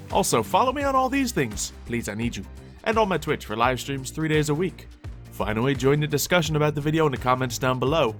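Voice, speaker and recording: A male gaming YouTuber delivers a casual outro to his audience. His voice is mid-pitched, with faint music behind it. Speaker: video game YouTuber.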